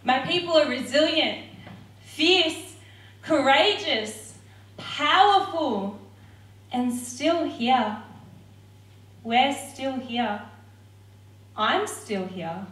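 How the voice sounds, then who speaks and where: A young woman performs rhythmic, defiant spoken word poetry in a high, sharp-edged voice. She is heard from a distance across a roomy hall. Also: expressive, very slow, long pauses. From Australia. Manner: rhythmic, defiant, forceful delivery. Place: theatre stage.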